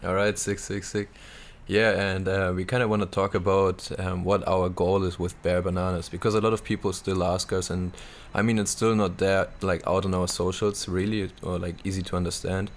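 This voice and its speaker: Deep, gravelly, flat-toned young man. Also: moderate pace, few pauses, a little hiss or hum. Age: 20s. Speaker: podcast co-host. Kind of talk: casual chat.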